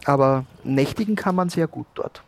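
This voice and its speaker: A man improvising role-play dialogue as a cork shop owner, speaking haltingly. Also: medium pitch. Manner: hesitant, halting. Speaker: player in an audio role-play.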